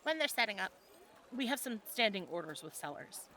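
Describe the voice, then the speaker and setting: Over clear background noise comes a woman acting as a friendly tavern woman from a medieval fantasy audio adventure. Her voice is bright and forward. She speaks conversationally, teasing a little as she guides a newcomer. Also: mid-range voice, very animated, brisk. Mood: guiding. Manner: conversational, gently instructive.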